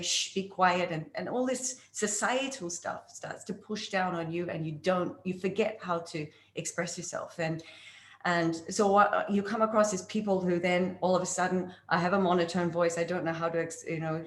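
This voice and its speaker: Woman, voice coach, expressive, conversational, echoey room. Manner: expressive, demonstrates pitch swings while explaining. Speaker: voice coach guest. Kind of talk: casual interview chat.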